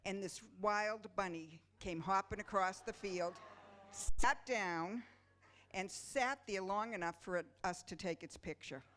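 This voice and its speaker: A woman's voice: mid-range voice, a little slow, a bit bright. A woman giving an informal, somewhat hesitant welcome speech to a congregation as the director of an amateur play. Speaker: amateur play producer and director introducing a performance. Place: church during a Sunday service.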